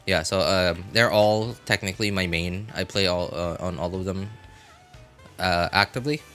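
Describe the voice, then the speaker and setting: A man's voice: sits low, normal speed, a bit bright, soft background music. A man streaming a video game, chatting casually in a rambling, self-deprecating way. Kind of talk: casual livestream chat while playing a video game.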